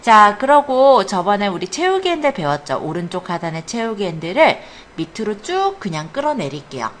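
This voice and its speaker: A woman's voice: mid-range voice, sing-song, normal speed, a bit bright. A woman computer instructor leading a hands-on classroom lesson step by step, often checking that students follow with short questions and encouragement. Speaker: computer academy instructor teaching a spreadsheet class. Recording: clear background noise in classroom.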